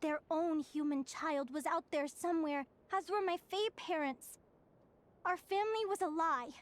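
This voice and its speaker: A woman playing a young fae girl in an English anime-style game, earnest and vulnerable yet brave, with emotional, halting sincerity. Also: very high-pitched. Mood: reflective, resolute.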